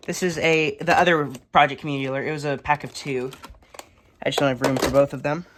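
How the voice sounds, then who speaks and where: Halting young man over clattering noise. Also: a little high, little pitch movement. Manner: halting, matter-of-fact, listing items. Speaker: hobbyist collector giving a tour. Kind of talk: casual unscripted show-and-tell narration. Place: indoors in a room, handling plastic bins. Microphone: handheld phone camera. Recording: noisy handheld recording with clattering.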